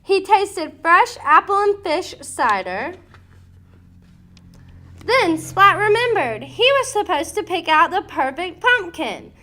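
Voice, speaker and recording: A woman narrating a children's storybook as an expressive read-aloud, with dramatic, deliberate emphasis. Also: very high voice.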